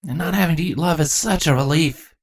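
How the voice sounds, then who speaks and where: A man voicing a sheepish, awkward male changeling king with a hesitant, halting delivery in a storybook reading. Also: fairly high pitch, voice pushed up, close to an everyday voice. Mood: more confident.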